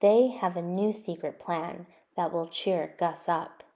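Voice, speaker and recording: Expressive woman reading a children's story. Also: fairly low pitch, slow pace. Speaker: storytime host and reader. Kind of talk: children's picture book read-aloud narration.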